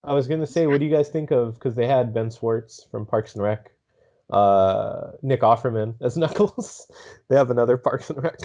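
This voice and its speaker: A man's voice: medium pitch, moderate pace, dark tone, highs cut off. A man chatting casually as a co-host on a movie podcast.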